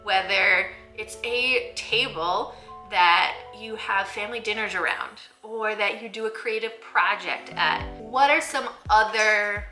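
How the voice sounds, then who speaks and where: A woman hosting a book review vlog, speaking to viewers in an enthusiastic, expressive presenter style. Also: a little high, roomy sound. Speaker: host of a picture book channel for teachers.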